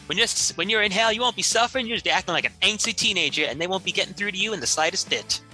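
A man with a very bright, forceful voice on the high side performs improvised spoof radio commercials. He talks like an announcer pitching a product, and music plays clearly behind him. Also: animated, rapid-fire, poor audio.

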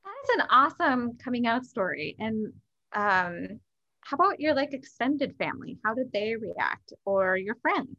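A woman's voice: fairly high pitch, animated, measured pace, sharp tone, dull audio. A woman co-hosting a podcast, chatting eagerly and laughing often. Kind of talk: casual podcast chat.

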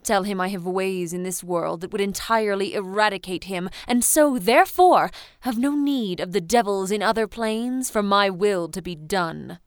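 An actress playing a proud, sharp-tongued woman of colonial New England in a period audio drama, with formal, ornate phrasing. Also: mid-range voice, normal speed, few pauses, clear, resonant tone, dry recording, studio quality, lightly acted. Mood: fierce, commanding. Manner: formal, theatrical, ornate old-fashioned phrasing.

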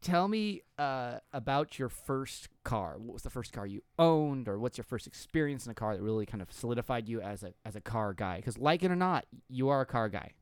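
A man's voice: medium pitch, expressive, a little fast, hardly any pauses, clear, resonant tone, dry recording, studio quality. A man hosting a casual podcast, prodding his co-host with questions, often restarting his words. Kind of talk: casual interview chat.

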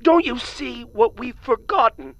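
Quirky, high-voiced old station worker. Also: female voice, expressive, a bit bright, no echo, highs cut off. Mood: alarmed, conspiratorial. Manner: halting, rambling, exclamatory storytelling. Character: quirky, agitated elderly maintenance supervisor on a space station. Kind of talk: audio drama acting.

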